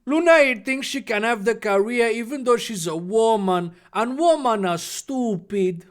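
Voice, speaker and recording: Performer putting on a smug teenage bully with mock Mexican accent, pitched up. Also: expressive, unhurried, close to an everyday voice. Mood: smug, scornful. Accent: exaggerated mock Mexican. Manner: smug, drawling.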